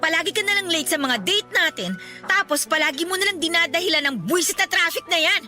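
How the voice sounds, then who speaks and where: A woman's voice: very high-pitched, fast talker, bright, forceful tone, soft background music, full character voice. A woman playing a fed-up, jealous young girlfriend in a Tagalog radio drama, speaking in an accusing, sarcastic, emphatic way. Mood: accusing, annoyed. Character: fed-up, jealous young woman confronting her secret boyfriend.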